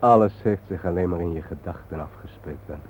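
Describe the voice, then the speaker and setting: An actor playing a brooding, philosophical man in a radio drama, with grave, poetic, deliberate delivery. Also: low voice, darkish tone, close to an everyday voice. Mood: reflective. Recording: narrow phone-like old radio recording, faint hiss.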